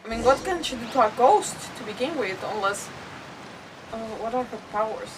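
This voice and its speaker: A woman's voice: a little high, lively intonation, brisk, recorded from a distance, background noise. A woman live-streaming a game, commenting in an informal, reactive way and rambling through her explanations. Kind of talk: casual live let's-play commentary.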